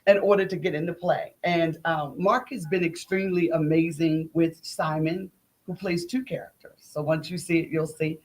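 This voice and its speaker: A woman's voice: low-pitched, steady tone, normal speed, darkish tone. Middle-aged woman, emphatic folksy storyteller. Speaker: playwright and director, interview guest. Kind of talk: animated conversational interview.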